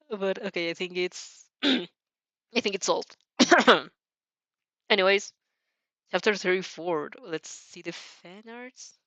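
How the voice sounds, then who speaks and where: Woman, slightly low voice, unhurried, casual reaction host, apologetic, scattered, close dry recording. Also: fairly bright tone, no echo, muffled recording. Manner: giggly, apologetic, scattered and self-interrupting. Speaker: host of a fan fiction reaction show.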